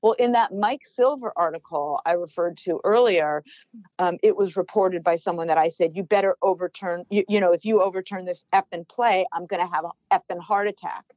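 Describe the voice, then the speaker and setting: A middle-aged woman with a naturally loud voice tells a story as a podcast guest, getting more and more worked up. Her voice sits mid-range. The sound is dry and a bit dull, as if slightly lo-fi. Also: lowish voice, fairly quick, no echo. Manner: loud, animated, gets worked up. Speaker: podcast guest, former team executive. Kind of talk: storytelling in conversation.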